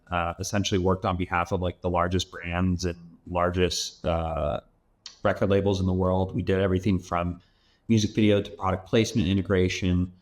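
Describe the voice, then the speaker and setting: A man in his thirties from Los Angeles, speaking in a low voice as a guest on a podcast. His delivery is loose and rambling, full of "um" and "like.". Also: a little mellow. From Los Angeles, USA. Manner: rambling and loose, heavy use of "like" and "um". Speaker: venture capital investor, guest. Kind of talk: casual interview talk.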